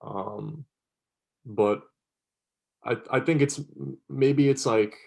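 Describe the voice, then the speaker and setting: Unsure, rambling low-voiced young man. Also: very slow, gravelly, a little mellow. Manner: rambling, unsure, says dude. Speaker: interviewee. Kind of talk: casual interview answer.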